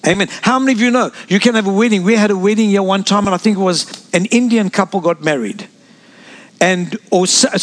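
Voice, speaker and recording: A man born in South Africa preaching a sermon as pastor, sprinkling amens and asides to the congregation. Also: sits high.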